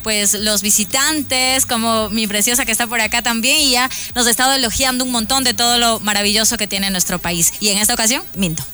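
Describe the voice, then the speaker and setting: A woman's voice: a little high, fairly even intonation, brisk, hardly any pauses, bright, forceful tone. A woman, a tropical music singer, talking cheerfully and promoting herself on radio.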